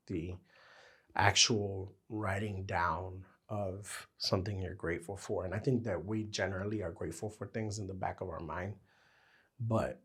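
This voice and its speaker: A man with a very deep voice co-hosts a relaxed podcast chat. His voice is husky and worn because he is losing it. He finds his thoughts by talking them through. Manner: talks his thoughts out as he goes. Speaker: podcast co-host. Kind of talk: casual chat.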